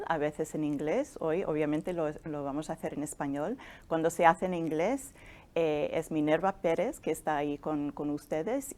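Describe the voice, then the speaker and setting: Middle-aged woman interviewer, lowish voice, encouraging, stops and restarts. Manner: warm, encouraging questions with hesitations. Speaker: interviewer, deputy director of a nonprofit.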